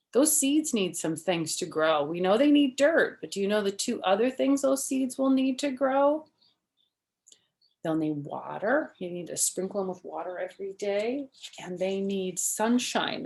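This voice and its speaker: A woman reads a storybook to children at an unhurried pace, in a mid-range voice. She is expressive and often turns to her young listeners with simple questions and chatty explanations. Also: mid-range voice, gravelly. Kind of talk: expressive read-aloud with chatty asides to children.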